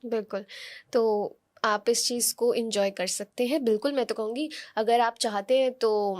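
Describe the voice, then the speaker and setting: A high-voiced woman talks as a card reader on a podcast. She gives spiritual guidance in an instructive, conversational way, addressing listeners directly and checking in with small rhetorical questions. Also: fairly even intonation, moderate pace, darkish tone. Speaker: oracle card reader, podcast guest giving horoscope guidance.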